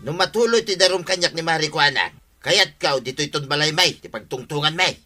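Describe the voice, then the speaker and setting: Comedy radio drama role: male actor as obstinate, sheepish Ilocano villager, forceful and fairly high-pitched. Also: fairly quick, faint music behind. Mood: stubborn, defiant. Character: stubborn, sheepish village man accused of hitting a neighbor.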